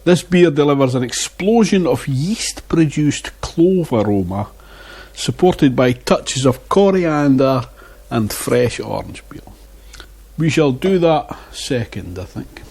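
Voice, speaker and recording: A man's voice: mid-range voice, expressive, slow pace, a little mellow, slightly rough. A man reviewing beer in a casual vlog, chatting and muttering asides to himself on a lapel mic. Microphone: wireless lapel mic.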